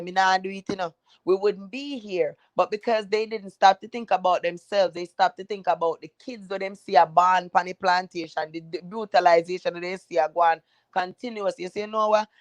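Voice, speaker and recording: A woman's voice: mid-range voice, no echo. Jamaican woman, panel guest, earnest and reflective, dry call audio.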